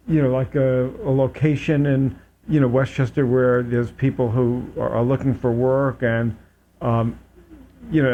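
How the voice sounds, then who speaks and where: A man hosting a community talk show, speaking in a mellow, soft-edged tone with plenty of ums and restarts. The audio is dull and lo-fi, with a faint hiss behind him. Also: mid-range voice. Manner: hesitant, many fillers and restarts. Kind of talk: casual interview chat. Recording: dull lo-fi public access audio.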